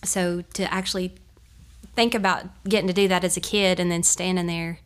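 Soft-spoken North Carolina woman, low and even. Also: normal speed, smooth. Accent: Southern US, North Carolina. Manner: earnest, gentle, searching for words. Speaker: bluegrass singer guest. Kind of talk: casual interview. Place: hotel room.

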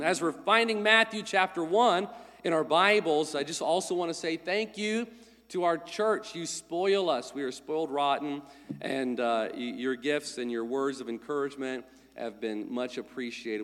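A male pastor with a somewhat high voice for a man, still congested from a cold, preaches in a friendly, conversational way. His words ring out with a long reverb through the church sanctuary. He speaks into a pulpit microphone. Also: moderate pace, a bit bright. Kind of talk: sermon with announcements.

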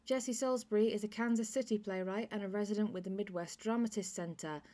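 A woman's voice: medium pitch, steady tone, fast talker, dark tone. Female podcast host, mid-range voice, scripted introduction, presenter delivery.

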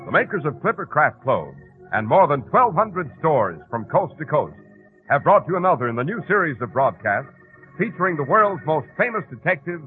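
A man's voice: on the high side, sing-song, normal speed. An American man announcing and hosting a scripted 1940s radio programme. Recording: muffled old radio broadcast with organ music. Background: organ music.

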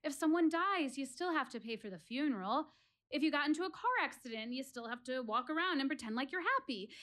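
A woman's voice: sits high, fairly bright tone. An actress playing an earnest, wry woman philosophizing to her theater audience in a dramatic one-woman monologue. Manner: dramatic, rhetorical, addressing listeners directly.

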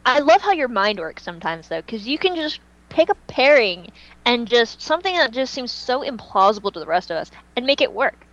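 A woman's voice: a little high, big pitch swings, fairly quick, fairly bright tone. Woman chatting casually on lo-fi audio. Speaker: podcast host. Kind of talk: casual podcast chat.

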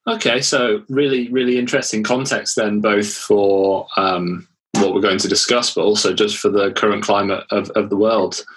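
A man's voice: lowish voice, fairly even intonation, normal speed, a bit bright, husky, low-quality recording. A man hosting a podcast, upbeat and given to repeating his words as he interviews a guest. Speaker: podcast host.

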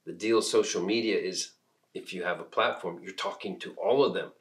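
A middle-aged man from Peru who is a minister, talking in an unscripted discussion in a reflective, halting way as he searches for words. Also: low voice.

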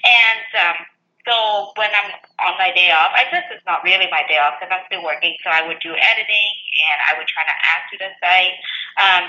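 A woman's voice: fairly low pitch, sharp tone, reverberant, dull audio. A woman of about thirty from Vietnam, chatting casually and cheerfully as a guest. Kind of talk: casual chat.

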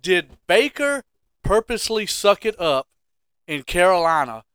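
Man, high clear voice, teasing, questioning, casual sports podcast banter. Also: big pitch swings, measured pace, fairly bright tone, no echo. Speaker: podcast co-host.

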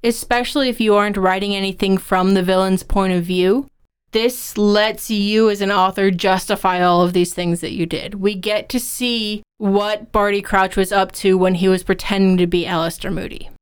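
A woman's voice: mid-range voice, steady tone, moderate pace, clear, resonant tone. A woman with a strikingly clean, resonant voice co-hosts a podcast. She talks conversationally, explaining her points in a middling register for a woman. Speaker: podcast co-host. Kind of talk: conversational podcast discussion of writing craft.

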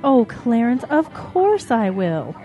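High swooping voice, motherly cow acted by a woman, emotional, loving, worried, children's audio drama. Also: very animated, slow pace, dull audio, soft background music. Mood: tender.

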